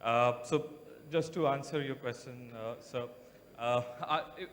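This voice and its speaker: A man's voice: mid-range voice, a little slow, fairly bright tone. A man in the audience asking a long, polite question with many hesitations and fillers. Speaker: audience member, education program organizer. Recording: reverberant hall, faint noise.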